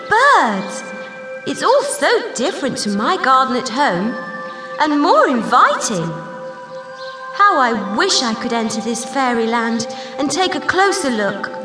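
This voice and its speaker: A woman playing a curious, excitable little girl who talks to herself in wonder, in a dramatised children's audiobook. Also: sits high, takes it slowly, roomy sound, over music, full character voice. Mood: amazed.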